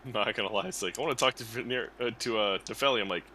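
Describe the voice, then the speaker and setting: A man's voice: on the low side, very fast, pushed and bright, a little hiss or hum. A man bantering casually as a player in a tabletop role-playing game.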